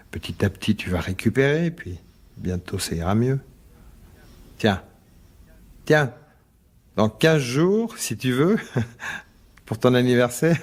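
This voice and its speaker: A tired husband in a radio mystery, mid-pitched, sighing, coaxing and brushing things off. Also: male voice, darkish tone, husky. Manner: colloquial, sighing, placating. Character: weary, placating husband, dismissive yet coaxing. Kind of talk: French radio mystery drama acting.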